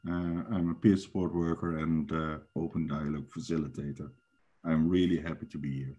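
Deep-voiced man, halting speech. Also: fairly even intonation, dark tone, breathy edge, muffled recording. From Netherlands. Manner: halting, with many fillers and restarts. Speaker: peer support worker. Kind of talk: heartfelt introduction. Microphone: video call.

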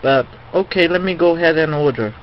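A man's voice: a little high, a little fast. A man making a rambling prank phone call in a hesitant, repetitive, slangy way. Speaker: prank caller. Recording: muffled, noisy phone call recording.

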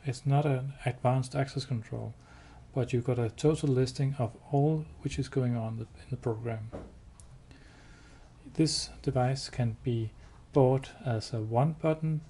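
A man giving a hands-on product demo indoors, explaining step by step in a halting, matter-of-fact way. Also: mid-range voice, dark tone. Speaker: product demonstrator. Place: indoors, showroom with wall-mounted devices. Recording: clear background noise.

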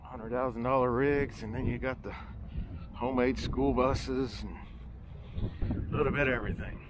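A man talks in an easygoing, offhand way as he films a walk around an outdoor campground. His pitch is ordinary and his remarks are wry and relaxed. Loud outdoor ambience and wind noise sit heavily behind his voice. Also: normal speed. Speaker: travel vlogger. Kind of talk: casual walk-around narration.

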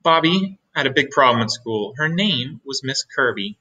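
A middle-aged man reads a picture book aloud. His voice is bright and forceful with a slightly husky edge, and his pitch moves a lot. He leaves dramatic pauses for effect. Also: animated, highs cut off. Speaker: teacher reading aloud.

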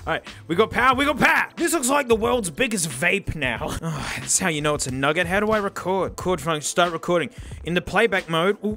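Hoarse male reviewer; excitable and self-mocking, high, very animated delivery. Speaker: gadget reviewer host. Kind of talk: casual unboxing commentary.

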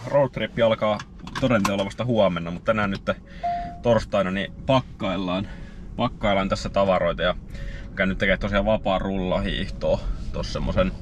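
A young Finnish man talking casually to the camera in a vlog, relaxed and rambling with many fillers. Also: sits low, over music. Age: young adult. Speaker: vlogger and roller skier. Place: in a car, outdoors on a road, indoors at home. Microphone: handheld or dash camera. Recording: dull lo-fi sound with background noise.

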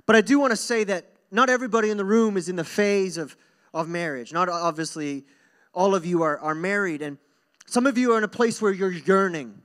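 A man's voice: high voice, fairly quick, fairly bright tone, clear, resonant tone. A young man preaching as a pastor in an informal, earnest, conversational way, repeating phrases for emphasis, speaking into a handheld microphone on stage. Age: young adult. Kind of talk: conversational sermon to a congregation. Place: stage in a church hall.

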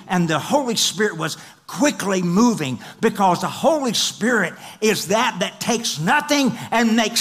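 A middle-aged man preaching a sermon on a large church stage into a headset mic, swinging from loud, excited passages to hushed whispers. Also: fairly high pitch. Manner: paces the stage and gestures. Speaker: pastor.

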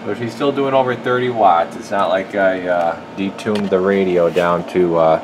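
A low-voiced man talks through a repair job at the bench in a loose, casual way. He thinks aloud in shop slang from behind a handheld camera. A clear hum of room noise sits behind him. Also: moderate pace. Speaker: radio repair technician presenting his work. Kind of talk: casual hands-on demonstration. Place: indoor electronics workshop. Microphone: handheld camera, speaker behind it.

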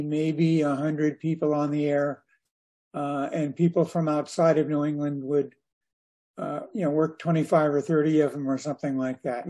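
Older man, mellow and slightly high-voiced. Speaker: guest speaker at a radio club. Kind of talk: informal slide presentation. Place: indoors on a video call.

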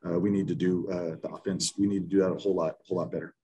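Football head coach, 50s, low voice, blunt, stumbling restarts, video-call mic. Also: male voice, little pitch movement, dark tone, breathy edge. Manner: blunt, halting, with many restarts and fillers. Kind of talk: post-game press conference. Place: small room in front of a sponsor backdrop.

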